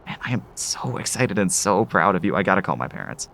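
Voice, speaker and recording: A man's voice: low voice, very animated, fairly quick, gravelly, background noise, full character voice. A man acting in an audio drama as an eager, pushy, proud father of a young actress. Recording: music under the voice.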